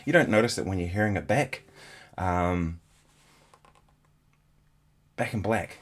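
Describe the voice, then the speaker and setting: A man's voice: low-pitched, unhurried. A man hosting a guitar livestream, sniffly from a stuffy nose, chatting casually and thinking aloud between bits of playing. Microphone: in-ear monitors, studio mic. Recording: livestream with loud guitar behind. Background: loud electric guitar.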